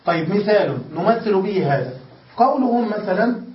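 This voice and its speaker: High-voiced man teaching in an echoey room. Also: lively intonation, moderate pace. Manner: methodical, often checks if students follow; repeats and restates points. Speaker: grammar teacher. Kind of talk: classroom lesson. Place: lesson room with students. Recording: echoey room, distant lo-fi mic.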